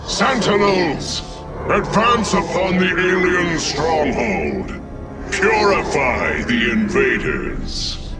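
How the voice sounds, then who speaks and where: A man's voice: fairly high pitch, very animated, very slow, far from the mic, loud music behind the voice, exaggerated voice. A man playing a stern, imperious robotic warrior executor in a video game, cold, clipped and commanding. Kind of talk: dramatic video game cutscene acting.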